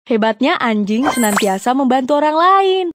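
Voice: sits high, animated, normal speed. An actor voicing a cute, cheerful young panda who guides toddlers with encouraging, simple phrases in an educational cartoon. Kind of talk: children's educational cartoon presenting. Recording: music behind the voice.

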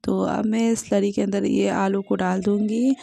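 Home cook host, woman, mellow and a bit gravelly, plain tutorial delivery. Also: medium pitch, little pitch movement, moderate pace, no echo. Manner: plain, step-by-step explaining with fillers. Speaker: cooking channel host. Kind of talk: home cooking tutorial voice-over.

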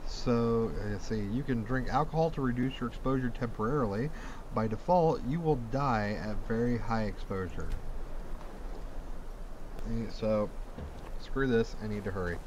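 A man's voice: mid-range voice, moderate pace, a little mellow. Casual gamer guy over noisy game audio. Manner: informal, crude, thinking aloud. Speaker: gaming video host. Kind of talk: casual let's play commentary. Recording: game ambience and noise behind voice. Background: video game sounds.

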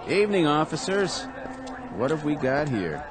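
An eager young press photographer who hurries to get his shot. His voice is higher than most and moves up and down a lot. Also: very animated. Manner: eager, brisk. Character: brisk young male newspaper photographer. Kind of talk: radio drama acting.

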